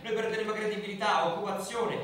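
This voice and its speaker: A man's voice: high voice, fairly even intonation, quick pace, a bit bright. A man in an Italian radio drama playing a domineering populist mayor who speaks insistently and demandingly. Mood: alarmist, rallying. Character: bullying populist male mayor, alarmist, domineering. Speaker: radio play actor. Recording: distant, very echoey, faint noise.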